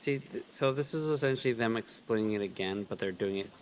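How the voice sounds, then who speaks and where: A man's voice: on the low side, fast, slight background noise. A man co-reading a visual novel on a livestream, moving between read lines and casual commentary. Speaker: co-reader on a game livestream.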